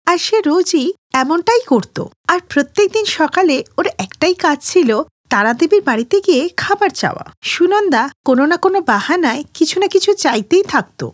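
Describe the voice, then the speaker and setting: A woman's voice: high-pitched, no room sound, clean studio sound. A woman narrating a scripted moral story for children as the storyteller.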